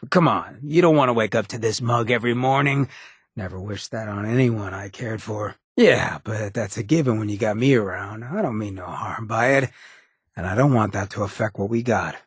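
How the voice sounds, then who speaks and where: Swaggering ghoul, extremely husky rasp, low pitch, American, streetwise slang, wry, loyal rogue, video game companion. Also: male voice, normal speed, no room sound, studio quality. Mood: warm, teasing. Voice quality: raspy, gravelly. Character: roguish drug-loving irradiated ghoul, swaggering, irreverent, loyal.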